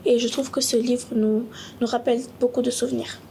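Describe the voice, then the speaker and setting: A woman's voice: on the high side, little pitch movement, a little fast, vocal fry, heavy background noise. A young woman from Senegal speaking directly in a classroom book discussion.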